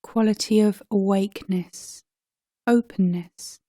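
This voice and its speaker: A woman guides a meditation in a soft, mellow voice at a very slow pace. She speaks gently, leaving space between short phrases as a teacher would. The recording is dry, with no room sound around her words. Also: medium pitch, steady tone, no echo.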